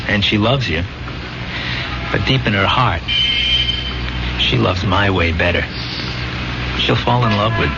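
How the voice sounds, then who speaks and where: Dull 1970s radio drama, music behind, low-pitched brash soldier, glib, streetwise, persuasive. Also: male voice. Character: brash, hell-raising dead soldier pleading with his buddy.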